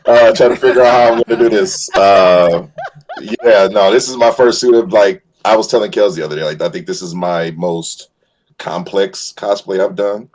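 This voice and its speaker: A man's voice: lowish voice, moderate pace, darkish tone, rough recording. A man chatting casually as a guest on a livestream, easygoing and hesitant as he explains things with enthusiasm. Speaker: guest voice actor and cosplayer. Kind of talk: casual chat.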